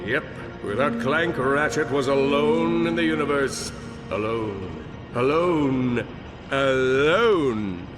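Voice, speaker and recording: A man's voice: medium pitch, expressive, takes it slowly, over music. An actor playing a boastful, cowardly, dim-witted male superhero who talks bombastically and narrates. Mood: narrating. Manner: bombastic, goofy, panics loudly.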